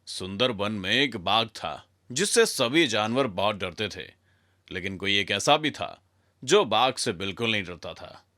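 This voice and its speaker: A man tells a scripted children's story with dramatic flair. His voice is bright and forceful with wide swings in pitch, recorded dry and close. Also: lively intonation, a little slow, no echo.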